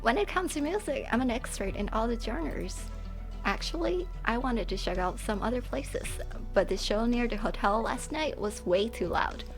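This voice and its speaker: Woman voicing a high, lively young female performer. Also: moderate pace, soft background music. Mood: chatty, upbeat. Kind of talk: amateur game fan dub.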